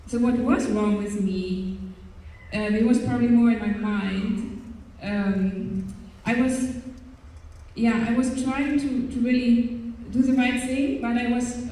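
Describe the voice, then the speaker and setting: A woman's voice: on the high side, dark tone. German-accented woman, echoey distant hall. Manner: earnest, hesitant, with frequent pauses and fillers. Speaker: religious sister giving a testimony. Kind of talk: personal faith testimony to young people. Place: large hall at a youth event.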